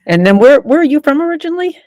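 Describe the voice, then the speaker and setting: A woman's voice: high-pitched, big pitch swings, rapid-fire, darkish tone, no room sound. A woman in her sixties, a guest in a podcast interview, telling stories reflectively with many fillers and restarts. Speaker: podcast guest, media executive. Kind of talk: conversational storytelling.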